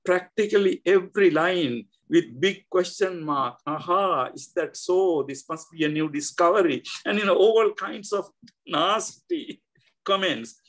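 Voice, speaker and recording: A man's voice: high-pitched, a bit bright, poor audio. Older Sri Lankan man on a video call. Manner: halting, many fillers and restarts. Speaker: guest scholar. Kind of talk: reflective interview talk. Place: indoor home room.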